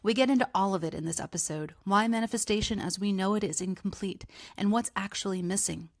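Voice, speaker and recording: A woman's voice: medium pitch, quick pace, no room sound, clean studio sound. A woman hosting a podcast, reading a scripted introduction and then chatting with enthusiasm as an interviewer. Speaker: podcast host.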